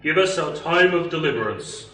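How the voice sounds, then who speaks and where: A man playing a calm, secretive cult leader in an amateur sci-fi radio play, speaking in a solemn, measured way. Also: on the high side, roomy sound, distant mic. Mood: reverent. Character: calm, secretive male cult leader guarding sacred chamber.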